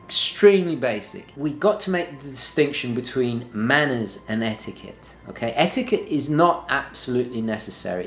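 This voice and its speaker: A young man talking to camera from his home study, earnest and emphatic, often restarting and repeating words as he makes a point. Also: fairly low pitch. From born in London, early childhood in northern Italy. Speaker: lifestyle vlogger. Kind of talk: casual talk to camera. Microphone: camera at desk distance. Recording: echoey room.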